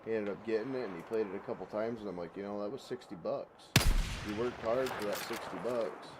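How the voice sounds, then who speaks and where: Male streamer, congested, lower voice, loose rambling chat, heavy game gunfire under the voice. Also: brisk, heavy background noise. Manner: rambling, folksy, repeats words. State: congested with a head cold. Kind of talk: casual gaming livestream chat. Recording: loud game gunfire behind voice. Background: gunfire and vehicles from the game.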